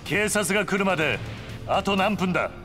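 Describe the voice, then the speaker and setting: An actor playing a stern, stoic yakuza hero in a video game cutscene, giving terse, resolute commands. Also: male voice, high voice, animated, moderate pace, bright, forceful tone, soft background music.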